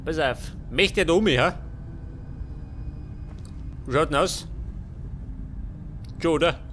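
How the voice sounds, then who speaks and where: A man's voice: fairly high pitch, slow, a bit bright, slight background noise. A man with a Bavarian accent doing casual let's play commentary, muttering to himself in colloquial, sweary bursts. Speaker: let's player.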